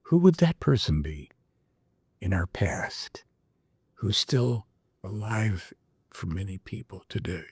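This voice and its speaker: A man's voice: on the low side, sing-song, slow pace, pauses often, slightly rough, no echo. A man hosting a podcast, reading a prophetic text aloud and breaking off with his own commentary and rhetorical questions. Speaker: podcast host. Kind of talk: reading aloud and casual discussion.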